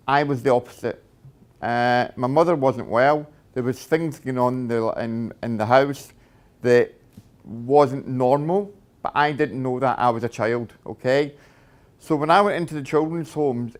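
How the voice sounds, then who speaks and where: A middle-aged Scottish man speaks in a mid-range voice, telling his life story in plain, direct words. He fills pauses with "uh" and keeps checking in with "okay?". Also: medium pitch. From Scotland. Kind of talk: personal testimony talk.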